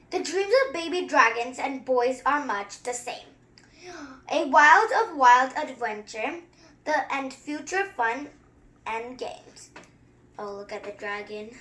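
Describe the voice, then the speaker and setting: A young-sounding story reader who reads a picture book aloud and chats through it with expressive, wandering asides. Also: mid-range voice. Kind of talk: children's story time read-aloud with excited running commentary.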